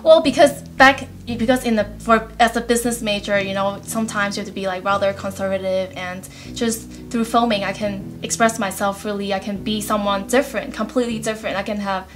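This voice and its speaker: A young woman, an actress answering interview questions in an earnest, measured way. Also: medium pitch, fairly even intonation.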